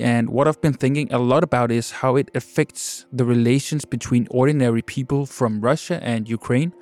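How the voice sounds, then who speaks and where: A man from Denmark, producer and co-host of a podcast, speaking calmly and deliberately. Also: mid-range voice. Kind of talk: podcast intro and interview.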